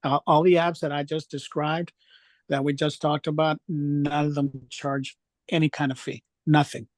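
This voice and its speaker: Male, slightly high voice, dry sound, enthusiastic informal presenter sharing personal experience. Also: level tone, moderate pace, no room sound. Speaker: speaker presenting travel apps. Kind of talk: informal discussion at an online event.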